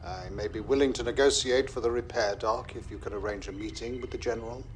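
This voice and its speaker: A man voicing an authoritative Klingon statesman and commander in a video game, speaking in a formal, measured way. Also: medium pitch, fairly even intonation, background noise, close to an everyday voice. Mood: measured, probing. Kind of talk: video game cutscene acting.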